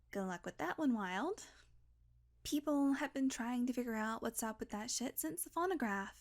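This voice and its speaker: Woman doing a snarky vampire lady, kinda high voice, teasing and sweary, secretly caring, close-up audio roleplay banter. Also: close to an everyday voice. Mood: wry, irritated. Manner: sardonic, casual, swearing banter. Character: snarky, teasing female vampire, centuries old, grudgingly caring. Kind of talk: intimate audio roleplay addressed to the listener.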